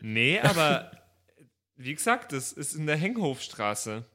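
Male podcast player, excitable joker, animated delivery on the higher side. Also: slow. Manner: excitable, amused, breaks into laughter. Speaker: podcast player in an improvised audio play. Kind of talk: casual joking chat.

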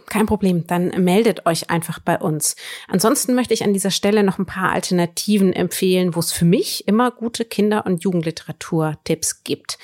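A woman's voice: medium pitch, a little mellow. A woman presenting a book podcast as co-host in a conversational style.

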